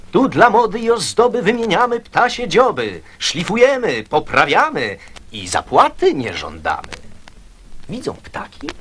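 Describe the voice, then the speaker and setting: Slow, very animated male verse narrator. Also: on the high side, a little hiss or hum. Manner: theatrical, storytelling. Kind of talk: dramatised poem narration.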